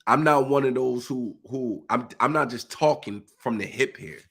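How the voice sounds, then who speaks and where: A man's voice: mid-range voice, normal speed, vocal fry, a bit bright, slightly rough. A man on a live stream, stuffy and congested, preaching emphatically in informal speech. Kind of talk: impassioned live monologue.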